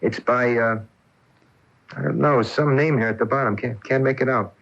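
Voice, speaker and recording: An actor playing a practical, affectionate, skeptical boyfriend in a radio mystery, casual and emphatic. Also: male voice, medium pitch, fairly even intonation, normal speed, vocal fry, fairly bright tone. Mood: breezy. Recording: dull off-air AM radio recording.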